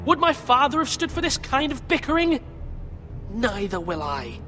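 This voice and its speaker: A man's voice: extremely high pitch, very animated, moderate pace, sharp tone, in a large hall, faint music behind, full character voice. A man playing an untested young lord who gives orders in a stern, commanding way. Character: young untested male lord, firm but unsure.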